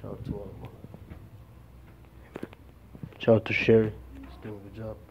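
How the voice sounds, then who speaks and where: A man talking casually as he films a handheld vlog, repeating short phrases and giving shout-outs. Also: lowish voice, level tone, normal speed. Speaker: vlogger. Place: church back rooms, indoors. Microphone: handheld phone. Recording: muffled noisy phone recording.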